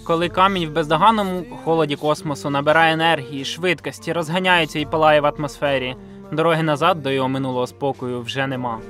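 A man's voice: a little high, fairly even intonation, fast talker, pushed and bright. A man narrating a scripted video essay in a reflective, sentimental way, with casual slang and some swearing. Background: music behind the voice.

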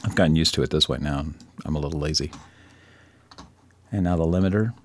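A man presenting a casual screen-recorded software tutorial, thinking aloud in an informal, self-deprecating way. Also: extremely low pitch, fairly quick.

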